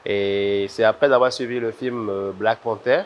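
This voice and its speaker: A man's voice: on the low side, fairly even intonation, moderate pace, highs cut off. A man with a Beninese French accent answering interview questions conversationally, repeating words as he thinks. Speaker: interview guest, visual artist. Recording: noisy ambient background.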